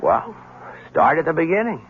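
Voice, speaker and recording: An actor playing a persistent, wry, hard-boiled newspaper reporter in a 1970s radio drama. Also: male voice, on the high side, sing-song, moderate pace. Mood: surprised, defensive. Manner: probing, sardonic. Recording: dull, hissy, humming old radio broadcast.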